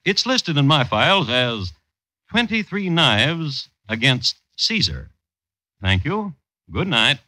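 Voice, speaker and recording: An actor playing a wry crime storyteller, narrating a vintage radio drama in a dry, deliberate, theatrical manner. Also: male voice, mid-range voice, very animated, sharp tone, no room sound, full character voice, poor audio. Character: wry, measured male storyteller of true crime tales. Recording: vintage radio recording with music.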